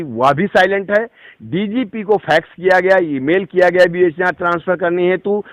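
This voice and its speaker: A man's voice: on the high side, dry recording, muffled recording. A man giving a formal, emphatic statement as an advocate in an interview. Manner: formal, emphatic legal phrasing. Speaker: advocate giving a victim's account. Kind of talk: phone interview statement, recounting a complaint.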